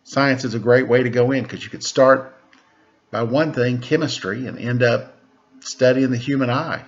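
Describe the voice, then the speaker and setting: Gravelly man reading a rhyming storybook. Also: medium pitch, fairly even intonation, normal speed, dull audio. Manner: rhythmic rhyming reading, then chatty asides. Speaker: teacher reading a picture book aloud. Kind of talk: storybook read-aloud for children.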